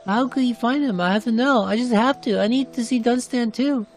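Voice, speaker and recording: A woman's voice: on the high side, a little fast, lightly acted. A woman voicing an anxious, guilt-ridden young girl in a fantasy game cutscene, pleading and plaintive. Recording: music behind the voice.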